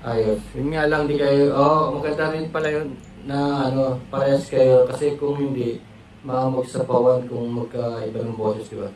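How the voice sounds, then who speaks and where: A man's voice: medium pitch, fairly even intonation, normal speed, a little mellow. A Filipino man giving a casual, rambling product demo in short fragments. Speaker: product reviewer. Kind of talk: casual unboxing and demo chat. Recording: echoey, noisy room, amateur karaoke-mic demo.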